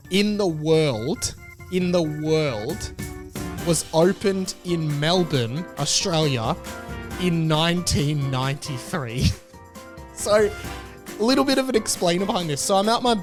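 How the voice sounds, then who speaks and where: A man hosting his own podcast, talking casually in a rambling, candid way and often stopping to restart his sentences. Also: a little high. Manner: rambling, self-interrupting, candid. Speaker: podcast host. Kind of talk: casual solo podcast chat.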